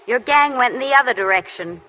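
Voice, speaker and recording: An actress playing a wartime army nurse who narrates, speaking softly and tenderly in a 1940s radio drama. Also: female voice, on the high side, lively intonation, a little fast, natural voice. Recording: muffled 1940s radio broadcast.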